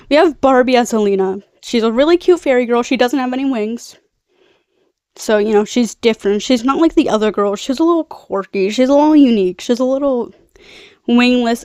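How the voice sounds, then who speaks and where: A woman's voice: fairly high pitch, dark tone, dry recording, clean studio sound. A young adult woman with a nasal, congested voice, rambling casually as she recaps a film in a slangy, tangent-prone way. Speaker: video host, film recapper. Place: bedroom.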